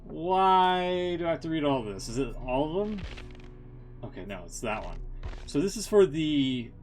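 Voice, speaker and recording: A man's voice: a little high, sing-song, normal speed, darkish tone, faint music behind. A man chatting casually as he livestreams a game, muttering wry, sweary asides. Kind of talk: casual stream chat and improvised reading aloud.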